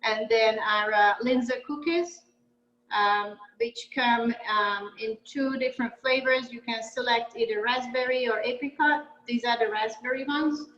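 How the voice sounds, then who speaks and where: A woman's voice: fairly high pitch, steady tone, measured pace, a bit bright, echoey room, highs cut off. A middle-aged woman from Hungary presenting her baked goods in a casual, hesitant way, with frequent fillers. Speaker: baker presenting her pastries.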